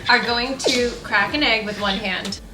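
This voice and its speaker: A woman hosting a cooking show, excitable and bubbly, often squealing and laughing. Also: a little high, unhurried, roomy sound, very noisy. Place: home-style kitchen set.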